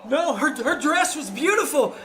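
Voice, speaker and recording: Excitable schoolboy, played by a woman: very high, stammering, exclaiming; radio comedy before a loud live audience. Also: normal speed, some chatter behind. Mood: awestruck, eager. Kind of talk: old-time radio comedy acting. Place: small-town theater stage, live performance. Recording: live theater stage with audience.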